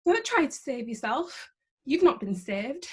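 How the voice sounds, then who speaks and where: A wounded, defensive young woman played by an actress in a drama table read. She has a high voice and a curt, indignant way of speaking. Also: big pitch swings, a little slow. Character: defensive, wounded, sharp-tongued young granddaughter. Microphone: video call.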